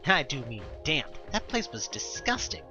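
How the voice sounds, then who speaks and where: A man voicing a gruff, grumpy, protective male companion in an audio drama, grumbling and sarcastic with plenty of slang. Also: a little high, big pitch swings, normal speed, dull audio, music in the background.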